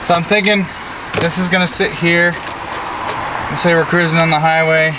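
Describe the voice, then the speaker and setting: A young man with an American accent and a slightly high voice talks through a job in a folksy, slangy way. He sounds laid-back and informal, like a hobbyist vlogger. He is recorded in a pickup cab, with clear traffic noise behind him. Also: level tone, normal speed. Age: young adult. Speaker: vlogger doing a truck DIY install. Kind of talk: casual vlog chat while working. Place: inside a parked pickup truck cab, door open. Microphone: camera mounted an arm's length or more away. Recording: clear outdoor road noise. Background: road and vehicle noise.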